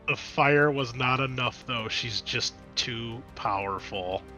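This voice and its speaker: A man with a bright, forward voice acts as game master for a tabletop roleplaying session. He sets scenes dramatically, then drops into casual asides, with faint music behind him. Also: medium pitch, takes it slowly.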